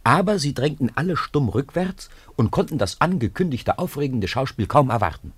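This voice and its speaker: A man narrating a 1950s radio play in an expressive storytelling manner. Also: medium pitch, moderate pace, breathy edge, dry recording. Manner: expressive storytelling with lively intonation.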